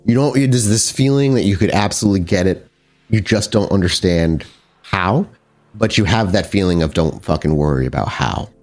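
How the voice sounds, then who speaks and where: A man narrating a tabletop horror game as game master, descriptive and halting as he searches for words. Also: low-pitched, expressive, a little mellow. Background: background music.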